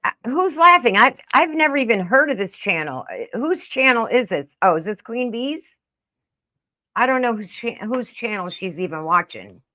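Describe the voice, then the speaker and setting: Middle-aged woman, blunt and very animated. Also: on the high side, normal speed. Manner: blunt, indignant, scolding. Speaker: reaction video host. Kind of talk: casual, profane reaction commentary.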